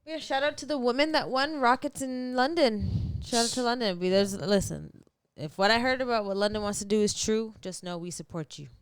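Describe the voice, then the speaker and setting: Woman, podcast co-host, smooth clear voice, dry close sound, casual slangy sports chat. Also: fairly high pitch, fairly quick, dry recording. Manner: informal slangy shout-outs.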